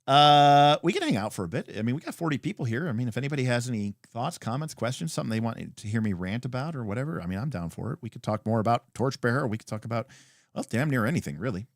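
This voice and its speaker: A man hosts a casual livestream with very lively, swinging intonation. He rambles and thinks aloud, then lands his opinions with emphasis. The recording is close and dry, with little sense of a room around him. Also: medium pitch, fast, dry recording. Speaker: livestream host. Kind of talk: casual unscripted livestream chat; reading and answering viewer comments.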